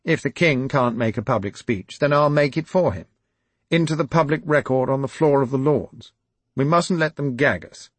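A man's voice: mid-range voice, normal speed, breathy edge, dry recording, voice pushed up, lightly acted. A man voicing an aggrieved, blustering older aristocrat who speaks. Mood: rousing. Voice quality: indignant, blustering.

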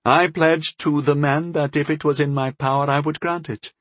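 A man's voice: high-pitched, moderate pace, breathy edge, no room sound, voice pushed up. A man voicing a stern, mighty old elven lord in a fantasy audiobook, speaking in a formal, commanding way. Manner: lordly, formal, emphatic. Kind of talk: audiobook character voice.

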